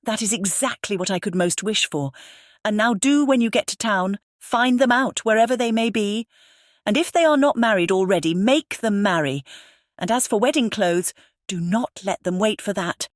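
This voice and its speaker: A woman's voice: medium pitch, normal speed, no room sound, studio quality, natural voice. Woman acting a fretful, lamenting middle-aged mother. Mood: pleading, excitable. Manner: lamenting, complaining, exclamatory. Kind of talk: dramatized audiobook character reading.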